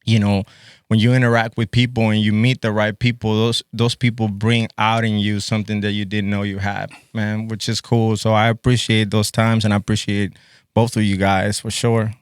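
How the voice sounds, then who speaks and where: Smooth-voiced, laid-back man from Guatemala. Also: lowish voice, level tone, normal speed, dry recording, clean studio sound. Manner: laid-back, says "bro" and "man" constantly. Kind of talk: casual podcast chat.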